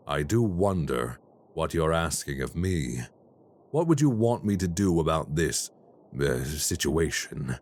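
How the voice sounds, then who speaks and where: A man voicing a cold, calculating crime boss in an audio roleplay, speaking in a slow, measured and formally menacing way. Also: extremely low pitch, darkish tone, exaggerated voice. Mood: probing, cool.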